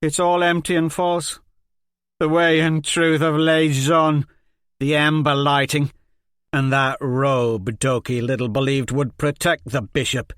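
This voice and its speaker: A man's voice: mid-range voice, unhurried, few pauses, fairly bright tone, dry recording, studio quality, close to an everyday voice. A man reading a story, voicing a bitter, foul-mouthed teenage boy who spits insults. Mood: bitter ranting. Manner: spitting, sneering insults.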